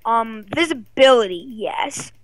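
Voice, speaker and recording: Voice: medium pitch, animated, measured pace, no room sound, low-quality recording. A child or young teen chatting casually and playfully as a co-player in a game. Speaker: co-player guest. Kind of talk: casual gaming chat.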